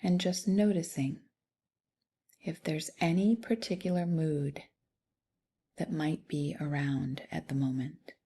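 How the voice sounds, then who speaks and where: A woman leading a guided meditation in a soft, soothing, unhurried way with long pauses. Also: sits low, steady tone, slightly rough. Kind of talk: guided body scan meditation.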